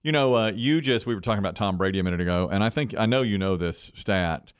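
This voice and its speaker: A man's voice: lowish voice, quick pace, clear, resonant tone, dry recording, studio quality. Middle-aged man chatting as podcast co-host. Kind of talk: casual sports and culture chat.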